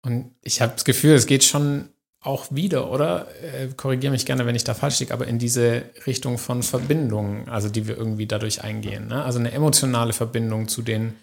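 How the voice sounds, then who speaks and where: A man's voice: medium pitch, steady tone, fairly quick, gravelly. A man hosting a podcast interview, asking questions casually and hesitantly, with fillers. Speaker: podcast host.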